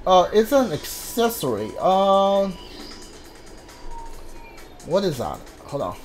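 A man casually commentating on his video game playthrough, haltingly thinking aloud and mumbling as he reads. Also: high-pitched, big pitch swings, faint music behind.

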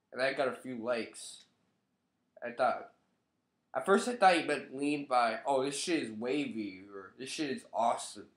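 A man's voice: mid-range voice, reverberant. A young man with a stuffy, nasal voice from a cold, talking loosely and with some swearing to a webcam in his living room. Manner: loose, profane, offhand. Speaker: vlogger. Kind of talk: casual commentary to camera.

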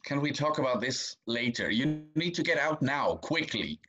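Cheating husband, nervous and guilt-ridden, young male actor, medium pitch, dull webcam sound, stage drama. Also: big pitch swings, normal speed, sharp tone. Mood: anxious, urgent. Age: young adult. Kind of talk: stage acting in a video-call play. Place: small room indoors. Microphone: video call, webcam. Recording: dull lo-fi video-call audio.

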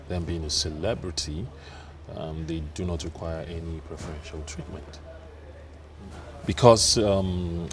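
A man playing a stern clinic manager. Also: low voice. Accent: Nigerian. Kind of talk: film drama acting. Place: outdoors on a clinic balcony. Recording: distant mic with faint noise.

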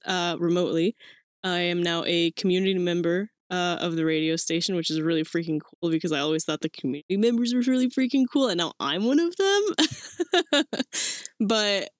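A woman's voice: on the low side, no echo, clean studio sound. A woman in her twenties from Mexico hosting her own podcast, talking casually and rambling with fillers and little laughs. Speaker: podcast host. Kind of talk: casual solo podcast talk. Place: small cluttered room indoors.

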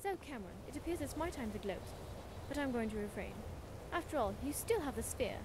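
Woman acting a smug, fast-talking adventurer scholar. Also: high voice, expressive, background noise. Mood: gloating. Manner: smug, articulate, wry. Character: haughty, gloating female scholar-adventurer, witty rival. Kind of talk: video game character dialogue.

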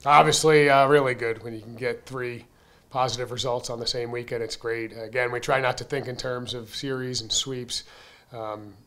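A man's voice: mid-range voice, normal speed. A man in his thirties, a baseball coach answering questions at a postgame press conference, talking candidly with lots of fillers and bursts of emphasis into a desk mic. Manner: candid, conversational, frequent fillers, energetic emphasis. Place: press room in front of a sponsor backdrop. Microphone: desk mic on a stand.

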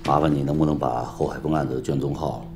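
A polite lawyer, super low flat voice, quick, businesslike, movie drama. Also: male voice, over music. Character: businesslike male lawyer quietly requesting case files. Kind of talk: understated screen drama acting.

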